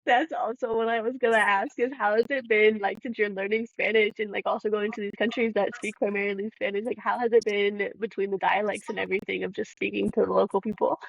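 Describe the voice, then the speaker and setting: A woman's voice: medium pitch, little pitch movement, fast talker, gravelly, few pauses, dry recording, muffled recording. A woman podcast host from Southern California, chatting in an upbeat, casual way and laughing easily. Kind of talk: casual chat.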